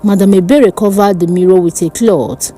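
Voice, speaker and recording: A woman narrating a dark folk tale as a storyteller, in a deliberate, theatrical manner. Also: mid-range voice, faint music behind.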